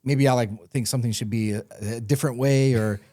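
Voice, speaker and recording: A man's voice: medium pitch, steady tone, fairly quick, dark tone. A young man co-hosting a podcast, talking through ideas in a thoughtful, casual way. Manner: thoughtful, reflective, with fillers and restarts. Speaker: podcast co-host. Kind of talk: casual chat.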